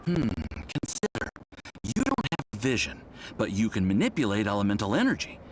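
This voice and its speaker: A man's voice: fairly low pitch, wildly swooping pitch, moderate pace, poor audio. A man voicing an eager, polite young research assistant in a video game, earnest, bookish and deferential, over music. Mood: earnest, musing.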